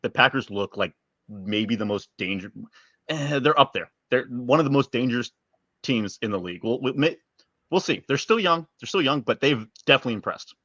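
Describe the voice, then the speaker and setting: A man's voice: mid-range voice, expressive, brisk, sharp tone, dry recording, muffled recording. A man co-hosting a sports podcast, chatting casually with emphatic opinions and some swearing.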